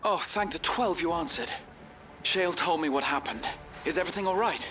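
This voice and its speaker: An actor playing a courteous, caring young scholar with formal, old-fashioned phrasing in a game cutscene. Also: male voice, a little high, fairly quick, lightly acted. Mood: relieved, anxious. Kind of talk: video game cutscene voice acting.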